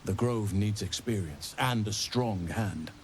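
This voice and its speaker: Man acting a grave, low-voiced druid leader. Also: a little hiss or hum, natural voice. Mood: measured, resolute. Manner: measured, formal, grave phrasing. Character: earnest, learned male druid leader burdened by regret. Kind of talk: dramatic fantasy video game dialogue. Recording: game music behind.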